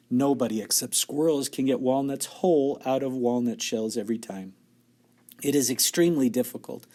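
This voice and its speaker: A man doing a brisk eccentric factory owner. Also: mid-range voice, mellow, natural voice.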